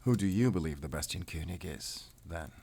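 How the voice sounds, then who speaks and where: Grim, determined werewolf hunter, low-pitched, brooding and terse, measured audio drama delivery. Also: male voice, lively intonation, vocal fry, dark tone, husky, exaggerated voice. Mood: probing. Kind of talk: cinematic audio drama acting.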